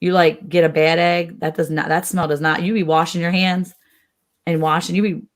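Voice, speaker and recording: A middle-aged woman with an American accent, chatting casually and telling animated stories with acted-out quotes. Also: lowish voice, normal speed. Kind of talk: casual chat, anecdotes.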